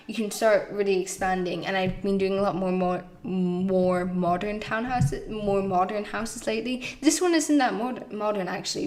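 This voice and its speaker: A woman rambling through a relaxed gameplay voiceover, her voice going a little hoarse. She trips over her words, restarts sentences and leans on fillers as she chats along at an even, middling pitch. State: losing her voice. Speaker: YouTube game builder.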